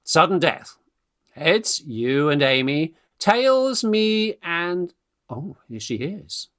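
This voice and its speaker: An actor playing a flustered young Victorian gentleman in a radio farce, British, stammering and exclamatory, eager in courtship and bossy with friends. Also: a little high, big pitch swings, slow pace, full character voice. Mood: impatient, scheming. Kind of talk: stage farce acting for radio play.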